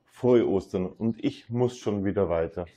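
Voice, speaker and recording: A middle-aged man leads a casual, upbeat walk-through of a campsite, cracking small jokes as he goes. His voice has a mellow, warm tone. He talks into a camera he holds at arm's length. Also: on the low side, measured pace, no room sound. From Bavaria, Germany. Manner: chatty, upbeat, jokey. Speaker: camping vlogger. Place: campsite outdoors and indoor reception office.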